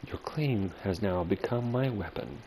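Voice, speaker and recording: A man's voice: fairly low pitch, animated, a little slow, warm tone. A man playing through a game, reading dialogue aloud with casual asides and some stumbles. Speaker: gamer making a playthrough. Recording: clearly noisy background.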